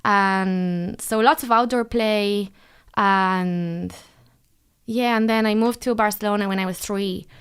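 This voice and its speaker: A woman's voice: mid-range voice, fairly even intonation, takes it slowly, clean tone. Spanish-born woman hosting a podcast. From Spain. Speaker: podcast host and business owner. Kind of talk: casual podcast chat.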